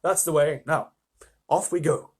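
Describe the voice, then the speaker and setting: A man voicing an excitable, courteous fawn with a flustered, effusive, old-fashioned politeness in a storybook reading. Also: fairly high pitch, measured pace, breathy edge. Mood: inviting, courteous.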